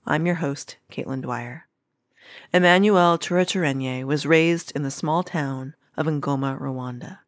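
A woman hosts a podcast, reading her introduction from a script. Her pace is measured and steady, and the recording is dry and close. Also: low-pitched, no echo. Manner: measured, even-paced reading. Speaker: podcast host. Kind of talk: scripted narration.

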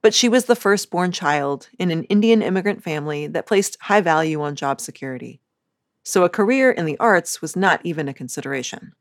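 A woman host delivering a measured scripted introduction. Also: fairly low pitch, animated, no echo, studio quality. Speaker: podcast host. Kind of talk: scripted narration. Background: faint music.

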